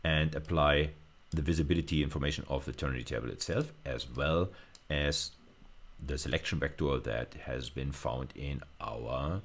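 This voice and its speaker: Male lecturer, very low-pitched and gravelly, informal hands-on teaching delivery with thoughtful hesitations. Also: normal speed. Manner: hesitant, thinking aloud while demonstrating. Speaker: university lecturer. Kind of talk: informal technical lecture, live demonstration.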